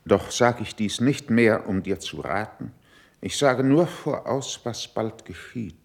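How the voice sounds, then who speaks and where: An actor playing a measured, worldly, admonishing senior courtier in a classical verse radio drama, speaking gravely with a gravelly voice. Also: male voice, fairly low pitch, lively intonation, heavily acted. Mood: grave warning. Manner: deliberate, grave, weighty declamation.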